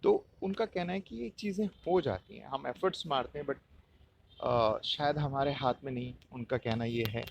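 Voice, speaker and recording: Casting: male podcast host, Indian accent, mid-pitched voice, casual solo commentary with a halting, explanatory delivery. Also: gravelly, a little hiss or hum. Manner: hesitant, explanatory, repeats points.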